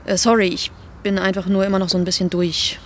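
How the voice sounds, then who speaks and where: Actress as a hesitant young woman, mid-pitched. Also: little pitch movement, fast, slight background noise, lightly acted. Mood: conciliatory. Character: hesitant young woman, distracted, conciliatory friend. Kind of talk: naturalistic audio drama dialogue.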